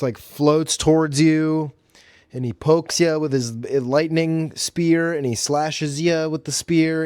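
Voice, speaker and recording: A man's voice: a little high, darkish tone, clear, resonant tone, dry recording, studio quality. A man hosting a solo podcast, chatting casually and informally about films and games in slangy, hesitant phrases. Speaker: podcast host.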